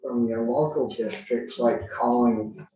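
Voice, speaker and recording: A man's voice: mid-range voice, fairly even intonation, takes it slowly, mellow. A man speaking hesitantly with many fillers, testifying before a committee. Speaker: advocate asking for public support. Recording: distant, echoey, muffled room audio.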